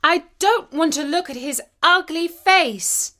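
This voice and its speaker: A woman voicing a passionate, spoiled young noble girl in a storybook tale, breathy and dramatic. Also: very high voice, slow pace, bright, forceful tone, pitched above the performer's own voice, heavily acted. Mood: horrified.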